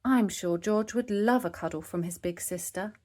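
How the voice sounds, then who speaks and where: A woman's voice: medium pitch, moderate pace, a little mellow, natural voice. A woman voicing a kindly, reassuring mother pig who praises and explains patiently in a storybook. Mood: gentle, reassuring.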